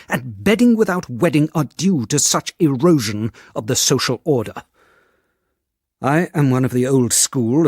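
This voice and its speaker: A man's voice: fairly high pitch, slightly rough, no echo, clean studio sound. A man acting an indignant, reactionary British schoolmaster in a radio drama, reading out a letter in a pompous, stern, emphatic manner. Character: indignant, reactionary old-school male teacher. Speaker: actor.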